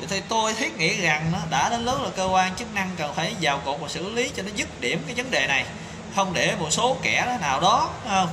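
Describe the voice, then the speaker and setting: A man's voice: high-pitched, big pitch swings, a little fast. A man in his thirties speaking an indignant opinion monologue to camera, full of rhetorical questions and fillers, filmed on a phone in a small tiled room. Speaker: commentator. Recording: echoey tiled room with background noise.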